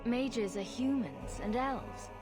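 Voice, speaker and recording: An actress playing a composed, wryly blunt adventurer in a fantasy game, with a direct delivery. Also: female voice, fairly high pitch, big pitch swings, slow pace, long reverb tail, music in the background, full character voice. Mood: firm, matter-of-fact. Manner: direct, wry. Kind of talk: cinematic fantasy game acting.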